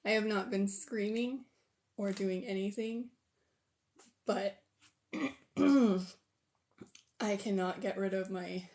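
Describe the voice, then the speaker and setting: A hoarse, tired-sounding woman hosting a casual livestream, rambling and thinking aloud as she sets up. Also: mid-range voice, fairly even intonation, slow pace, pauses often, a little mellow. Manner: rambling, self-deprecating, thinks aloud while sorting pieces. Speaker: livestream host. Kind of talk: casual livestream chat while setting up a build.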